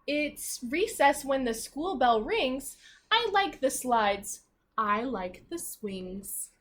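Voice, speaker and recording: A woman's voice: high-pitched, big pitch swings, takes it slowly, fairly bright tone. A woman teacher reading a children's book aloud expressively, adding chatty asides and questions addressed directly to the children. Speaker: primary school teacher reading aloud to pupils.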